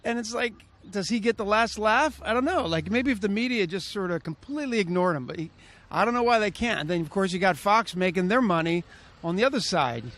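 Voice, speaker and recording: A middle-aged man from Philadelphia, rambling and getting animated in a street interview. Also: sits high, a little fast. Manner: rambling, animated when riled. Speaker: passerby interviewee. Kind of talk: casual street interview answers. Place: outdoors on a city street.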